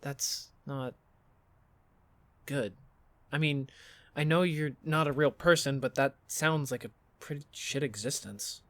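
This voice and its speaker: A man playing a blunt, bewildered and wary young man in an audio drama, with halting, incredulous delivery. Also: medium pitch, fairly bright tone, no echo. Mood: uneasy, resigned. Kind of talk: audio fiction podcast acting.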